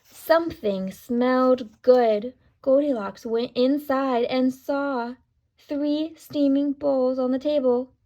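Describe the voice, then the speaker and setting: A woman reading a children's story aloud with deliberate, dramatic emphasis, speaking directly to young listeners. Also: high voice, steady tone, warm tone, rough recording. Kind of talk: expressive children's read-aloud with asides to listeners.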